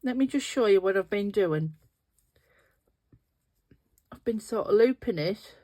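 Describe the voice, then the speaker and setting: A woman's voice: mid-range voice, animated, measured pace, dark tone. Sniffly, nasal woman crafting. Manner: chatty, thinking aloud while working with her hands. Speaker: resin craft video host. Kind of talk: casual craft tutorial talk.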